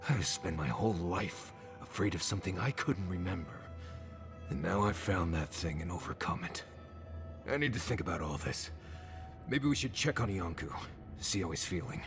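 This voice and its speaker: An embittered, hard-edged adventurer with a low, deep voice. He speaks bluntly and wearily in a fantasy game scene, with loud music behind him. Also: animated, moderate pace, gravelly. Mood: weary, reflective. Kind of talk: video game cutscene acting.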